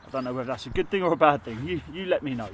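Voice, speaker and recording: A man's voice: mid-range voice, very fast, a little hiss or hum. A man with a sore throat, chatting casually while he teaches bricklaying on site. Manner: chatty, rambling, self-admittedly waffles. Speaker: bricklayer teaching on his channel. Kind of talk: casual how-to tutorial.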